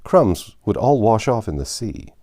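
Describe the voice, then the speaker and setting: Man voicing a wistful turtle, pitched up high. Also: very animated, unhurried, dark tone. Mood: knowing. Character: melancholy, wistful old turtle creature, excitable when reminiscing. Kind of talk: storybook character reading.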